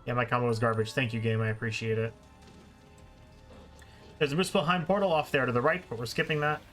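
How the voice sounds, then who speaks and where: A man's voice: mid-range voice, steady tone, fast talker, a bit bright. A man giving casual, explanatory live commentary as he streams a video game. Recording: game music behind the voice. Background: video game music.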